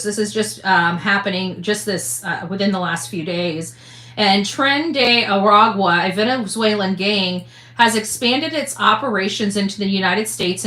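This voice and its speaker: Woman, bright forceful voice, halting conversational delivery, casual news commentary, roomy echo. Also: medium pitch. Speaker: online commentator.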